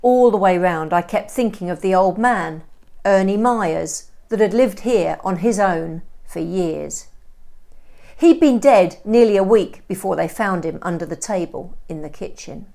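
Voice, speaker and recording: Female teacher for storybook narration, medium pitch, expressive and clearly phrased. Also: a little slow. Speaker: English teacher. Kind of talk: storybook reading aloud.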